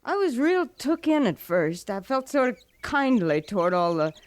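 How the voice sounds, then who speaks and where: An actress playing a bitter, wounded widowed homesteader in an old-time radio western, speaking haltingly and with intense feeling. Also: female voice, a little high, big pitch swings, no room sound. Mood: bitter, anguished. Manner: halting, emotionally intense, accusing. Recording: old radio drama recording.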